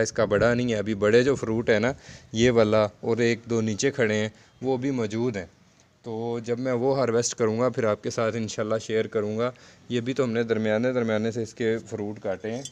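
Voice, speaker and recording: A man's voice: medium pitch, steady tone, moderate pace. A man giving a casual, explanatory gardening vlog narration in a conversational, repetitive way. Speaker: home gardening video host.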